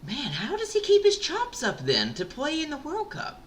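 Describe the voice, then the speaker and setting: A man co-hosting an esports podcast, talking in an excitable, animated way with lots of fillers and restarts. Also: extremely high pitch, moderate pace, slight background noise. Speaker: podcast co-host. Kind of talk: casual esports discussion.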